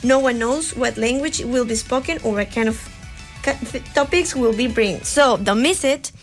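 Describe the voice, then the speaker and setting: Young woman, fairly high voice, radio host, cheerful, lots of ums and restarts, light music behind. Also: moderate pace. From Costa Rica. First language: Spanish. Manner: upbeat, hesitant with many fillers and restarts. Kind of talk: live community radio chat. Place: radio studio. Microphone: studio microphone.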